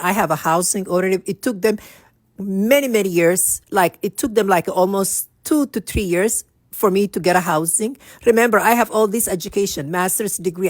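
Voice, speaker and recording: A woman's voice: on the low side, no echo. A woman from Somalia giving a personal monologue as a vlogger, speaking emphatically and repeating phrases for stress. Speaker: vlogger telling her own story.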